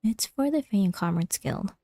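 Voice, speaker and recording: A woman streaming a game, chatting casually and reading dialogue aloud, with a creaky voice. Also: mid-range voice, very animated, moderate pace, warm tone.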